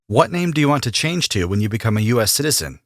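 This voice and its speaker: A man playing an immigration officer who asks formal, steady questions in a scripted practice interview. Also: medium pitch, fast talker, no room sound, studio quality. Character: male immigration officer, businesslike and neutral. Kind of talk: scripted role-play interview.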